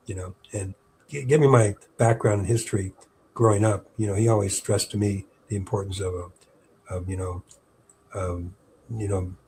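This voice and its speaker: A man's voice: lowish voice, a little mellow. Chatty older man with faint hiss. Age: 70s. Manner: rambling, with many fillers and restarts. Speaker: park historian guest. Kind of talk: casual interview chat.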